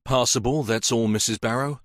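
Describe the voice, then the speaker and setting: A man's voice: medium pitch, steady tone, brisk, no room sound, natural voice. A man playing a blunt, dry inspector. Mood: curt.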